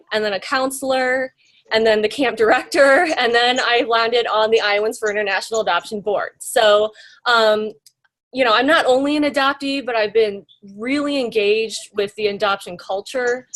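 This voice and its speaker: A woman's voice: fairly high pitch, moderate pace, a bit bright. A young woman telling her story in an interview, chatty and full of fillers and rhetorical questions. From United States. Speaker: interviewee, graphic designer.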